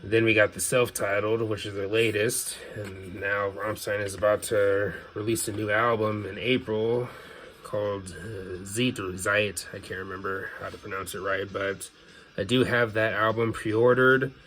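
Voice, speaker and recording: A man with a fairly low voice talks casually as a video host, in an unscripted, offhand way that softens each opinion. There is some noticeable background noise in the recording. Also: fairly even intonation, unhurried, low-quality recording. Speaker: video host showing a music CD collection. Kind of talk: casual unscripted collection commentary.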